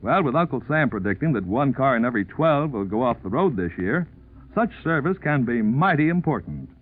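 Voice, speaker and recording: A man's voice: mid-range voice, big pitch swings, a little fast, slight background noise. An American man announcing in scripted 1940s radio style, with a polished, emphatic salesman's delivery. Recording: tinny 1940s radio recording, faint music.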